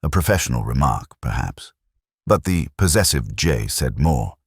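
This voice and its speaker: Very deep-voiced man narrating a detective mystery. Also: very animated, breathy edge, no room sound, clean studio sound. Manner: measured, deliberate literary reading. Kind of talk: scripted first-person literary narration.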